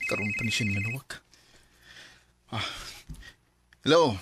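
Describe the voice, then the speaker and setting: A man's voice: fairly low pitch, unhurried, background noise, close to an everyday voice. A man with a Cebuano Filipino accent playing an earnest, trusting young boyfriend in a Bisaya radio drama. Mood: worried.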